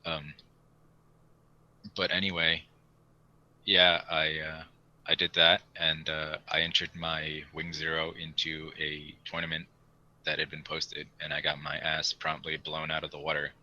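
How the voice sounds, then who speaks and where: A man's voice: very deep voice, monotone, a little slow, pushed and bright. A young man chatting casually and in a rambling way as a podcast host. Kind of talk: casual chat.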